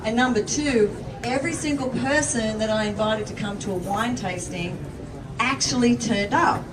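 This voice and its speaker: A woman's voice: fairly high pitch, moderate pace, background noise. A woman with an Australian accent giving an enthusiastic, chatty testimony to an audience at an event. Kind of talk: upbeat sales testimony on stage. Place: event venue with a crowd. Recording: echoey hall, distant mic, loud background.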